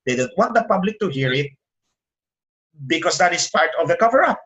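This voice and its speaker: Middle-aged Filipino man, Tagalog native speaking English; attorney guest, fairly high-pitched, heated and emphatic. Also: very animated, fairly quick, a bit bright, muffled recording. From Philippines. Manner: indignant, rises sharply in pitch when making a point. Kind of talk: interview over a call.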